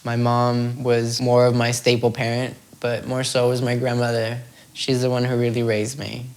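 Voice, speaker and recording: Earnest, even-toned young man. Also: medium pitch, moderate pace. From South Florida, United States. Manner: reflective, earnest. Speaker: interviewee. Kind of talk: documentary interview. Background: soft music score.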